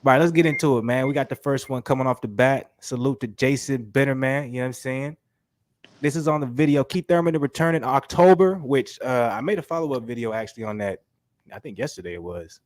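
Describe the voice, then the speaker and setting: A man's voice: mid-range voice, fast talker, dry recording. Stuffy-nosed man hosting boxing talk. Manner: slangy, animated, repeats "you know what I'm saying". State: stuffy, sick with a cold. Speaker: host. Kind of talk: casual boxing talk show.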